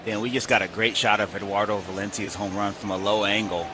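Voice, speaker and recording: A man's voice: lowish voice, steady tone, fast. An American man giving conversational live analysis as a baseball color commentator. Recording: stadium ambience noise behind the voice.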